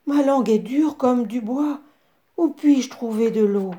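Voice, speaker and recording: A woman's voice: a little high, a little slow, dark tone, pitched above the performer's own voice, lightly acted. A woman playing a parched pleading antelope. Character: thirsty gentle female antelope.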